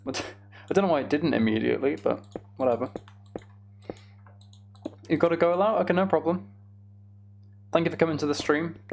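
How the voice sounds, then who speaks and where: A man's voice: a little high, normal speed, gravelly, pauses often, slight background noise. A man chatting casually as he livestreams a game, with self-deprecating, muttered asides to himself. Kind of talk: casual gaming livestream chat with commentary.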